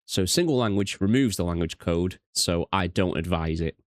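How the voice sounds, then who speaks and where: British male tutorial host, low register, informal step-by-step delivery, very dry recording. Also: expressive, normal speed, no room sound. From United Kingdom. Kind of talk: casual software walkthrough narration.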